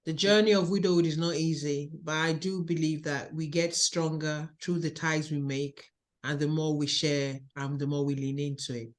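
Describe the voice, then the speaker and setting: Slow-speaking Nigerian woman podcast host. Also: low voice, steady tone. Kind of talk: warm interview podcast hosting.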